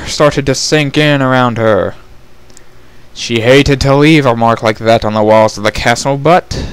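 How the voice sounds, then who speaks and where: Clear-voiced man narrating a fantasy story. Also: medium pitch, no room sound, low-quality recording. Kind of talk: dramatic fan fiction reading, scripted narration.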